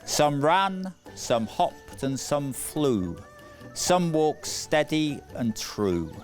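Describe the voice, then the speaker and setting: Male narrator for a children's folktale: very unhurried, measured, dramatic storytelling, light music underneath. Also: fairly high pitch, lively intonation. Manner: measured, deliberate, dramatic phrasing.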